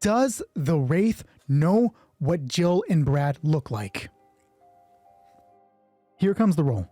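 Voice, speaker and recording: A man with a husky voice narrates a solo tabletop game casually, thinking aloud and swearing freely as he talks himself through rulings. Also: on the high side, very animated, slow, warm tone, no echo, faint music behind. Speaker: solo roleplay player and narrator.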